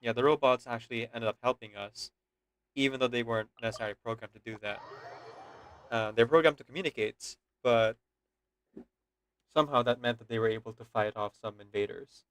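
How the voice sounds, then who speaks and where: A man's voice: mid-range voice, a little fast, a bit bright, clean tone. A man giving casual gameplay commentary as a streamer, musing aloud as he plays. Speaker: game streamer.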